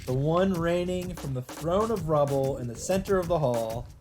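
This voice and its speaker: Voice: mid-range voice, lively intonation, moderate pace, a little mellow, slight background noise. A let's player reading a visual novel aloud in dramatic narration, breaking off for casual asides and exclamations.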